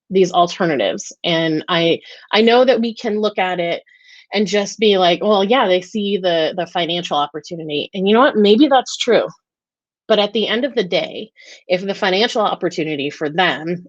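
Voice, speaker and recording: An American woman hosts a relaxed live chat, talking with enthusiasm and plenty of ums and restarts. Her voice sits on the lower side for a woman. The sound is dry and a bit muffled, like a lo-fi stream. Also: dry recording. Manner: chatty, enthusiastic, many fillers and restarts. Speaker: host of a weekly live talk and Q&A. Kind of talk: casual livestream chat.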